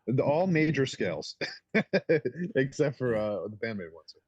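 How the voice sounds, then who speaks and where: Husky-voiced man in casual podcast talk. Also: medium pitch, big pitch swings, unhurried, darkish tone, no echo, lo-fi sound. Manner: laughs often, candid opinions. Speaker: podcast co-host.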